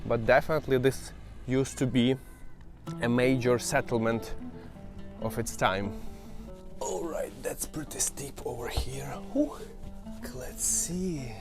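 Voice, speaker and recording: A man's voice: mid-range voice, slow pace, music in the background. A man from Western Ukraine, a native Ukrainian speaker with a Ukrainian accent, giving casual travel commentary while walking outdoors and pointing things out to viewers. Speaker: travel vlogger. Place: outdoors walking through an old town.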